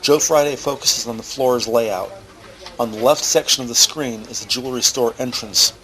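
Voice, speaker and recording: A man narrating scenes from a script in a deliberate, descriptive way. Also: medium pitch, a bit bright, poor audio.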